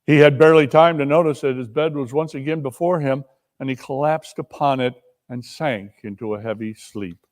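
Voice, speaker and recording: A man's voice: mid-range voice, moderate pace, long reverb tail. A man narrating a classic tale as a dramatic reader.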